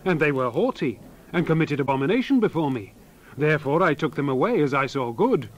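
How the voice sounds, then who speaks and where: A man voicing a wrathful God in a dramatized scripture reading, declaiming solemnly and swinging between forceful cries and hushed near-whispers. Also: fairly high pitch, animated, a little fast. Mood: stern, accusing. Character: wrathful male God pronouncing solemn judgment.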